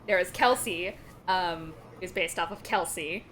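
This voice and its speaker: A woman's voice: on the low side, measured pace, a little hiss or hum. A young woman running a tabletop game, wrapping up the session with lots of laughter. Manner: giggly, energetic. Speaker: game master. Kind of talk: casual chat.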